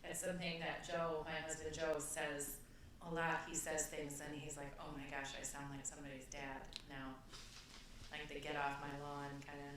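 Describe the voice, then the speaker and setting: A woman's voice: deep voice, level tone, normal speed, a bit bright. A middle-aged woman co-hosts a casual podcast conversation, prompting with questions, recorded with heavy echo and at a distance from the mic. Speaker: podcast co-host. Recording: echoey, distant from mic, faint noise.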